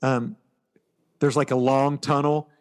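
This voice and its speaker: A man co-hosting a podcast, chatting casually about movies in a halting, storytelling way and often quoting dialogue. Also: mid-range voice, flat delivery. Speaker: podcast co-host.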